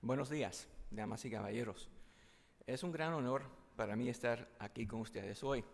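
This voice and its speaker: A man's voice: medium pitch, moderate pace, creaky. A man from the United States opening a formal conference presentation, polite and courteous, modest about his own Spanish. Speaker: military conference speaker. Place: large conference hall. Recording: long hall reverb.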